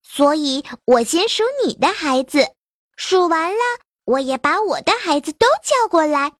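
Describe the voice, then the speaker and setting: Woman voicing a cheeky little rabbit, storybook, high sing-song voice, slow. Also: lively intonation, no room sound, studio quality. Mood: wheedling. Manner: coaxing, cheeky. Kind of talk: storybook character voice.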